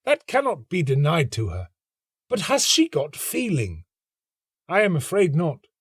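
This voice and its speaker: A man's voice: a little high, huge pitch swings, a little slow, breathy edge, no echo, studio quality, pitched above the performer's own voice, exaggerated voice. A man playing an aloof, pedantic young student in a storybook, with an affected, dismissive, pompous delivery. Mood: smug dismissal. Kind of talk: storybook character acting.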